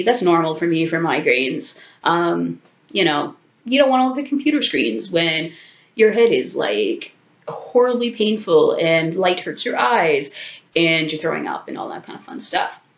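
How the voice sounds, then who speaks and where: A woman in her thirties, sick and a little stuffed up, talks frankly and casually to her webcam, swearing and laughing as she goes. Her voice sits a bit low. The sound is dull and lo-fi, with the echo of a small home room. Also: lively intonation, normal speed. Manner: chatty, frank and profane, with frequent laughs and asides. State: sick with a sore throat and congestion. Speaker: vlogger. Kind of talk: casual talking-head commentary. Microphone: webcam at desk distance.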